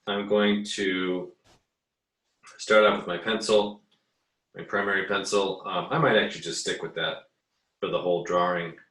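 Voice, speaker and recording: A man with a very low but bright, clear-edged voice talks through a drawing demo like a teacher. He is easygoing and hesitant, thinking aloud as he goes. The sound is echoey, as if he sits back from the mic in a room. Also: steady tone, moderate pace, pauses often. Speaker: art teacher giving an assignment demo. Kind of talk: casual screen-recorded drawing tutorial. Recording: roomy, echoey, distant mic.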